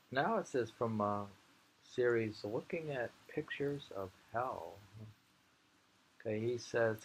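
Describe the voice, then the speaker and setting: A man reading poetry aloud informally, with musing, halting commentary and frequent pauses, in an amateur recording. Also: fairly low pitch, slight background noise, rough recording.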